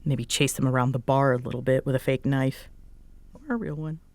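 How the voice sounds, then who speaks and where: A woman's voice: very deep voice, steady tone, a little fast, a little mellow. A woman playing a tired, sarcastic bartender roommate who teases but is secretly fond, in an intimate roleplay audio. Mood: weary sarcastic. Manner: sarcastic, teasing, hesitant when sincere. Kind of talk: roleplay audio acting, intimate one-sided conversation.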